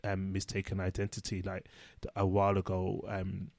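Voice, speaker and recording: A man co-hosting a casual chat, a social worker speaking in a reflective, measured way. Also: low-pitched, monotone, a little mellow, no room sound. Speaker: social worker, podcast co-host.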